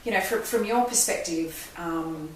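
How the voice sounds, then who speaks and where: An upbeat, chatty woman hosts an interview in a lowish voice. She sounds far from the mic in a very echoey room, with faint hiss behind. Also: measured pace. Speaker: host. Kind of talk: interview hosting.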